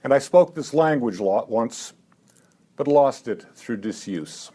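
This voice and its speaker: A man in his seventies at a live poetry reading, talking haltingly between poems with dry, self-mocking asides and lifting his voice for the verse. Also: mid-range voice, animated, mellow, breathy edge. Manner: halting talk with many "uh" fillers. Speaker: poet.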